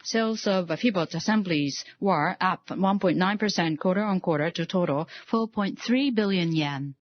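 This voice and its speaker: Halting woman presenter on dull conference audio. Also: fairly low pitch. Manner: halting delivery with frequent hesitations. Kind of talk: formal financial results presentation.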